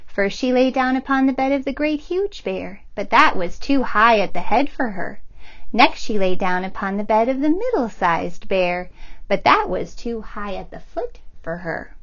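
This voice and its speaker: A woman, a children's librarian, reading a picture book aloud in a gentle, expressive storytelling manner. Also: a little high, moderate pace, muffled recording.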